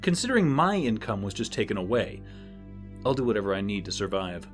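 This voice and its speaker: A man's voice: fairly low pitch, very animated, fairly quick. A man plays a bitter, scheming bounty hunter from an audio drama. He is dour and threatening, with sarcasm in lines that rise and fall widely. Music plays behind him. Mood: scheming, smug.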